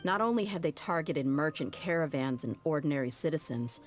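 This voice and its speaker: A woman's voice: low voice, lively intonation, brisk, soft background music. A woman voicing a tough young female mercenary in a game, talking in a frank, wry, matter-of-fact way. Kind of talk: video game cutscene dialogue.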